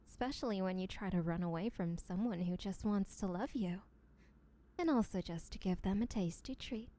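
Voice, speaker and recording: Woman acting a obsessive yandere kidnapper, mellow voice, smug, teasing, rambling, sweetly menacing, comedic audio roleplay. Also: medium pitch, lightly acted.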